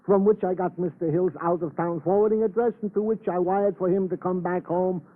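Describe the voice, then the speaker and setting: An actor playing a chatty, deferential police sergeant in an old-time radio drama, with florid, roundabout phrasing. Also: male voice, high voice, steady tone, fairly quick, phone-quality sound. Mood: earnest, eager. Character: chatty, deferential Italian-American police sergeant on night duty. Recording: thin, band-limited old radio recording.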